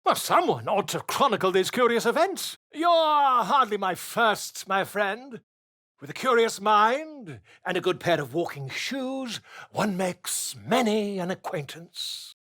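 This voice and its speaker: A man's voice: high-pitched, very animated, a little slow, pushed and bright, no room sound, studio quality, exaggerated voice. An actor playing a flamboyant, self-important male bard and scribe in a video game, speaking in a theatrical, flowery, pompous way. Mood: grandiose.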